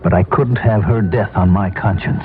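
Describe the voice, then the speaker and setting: A man's voice: on the low side, moderate pace, slight background noise, lightly acted. An actor playing a guilt-ridden, harried family man in a 1970s radio drama. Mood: brooding confession. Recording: tinny 1970s radio recording with music.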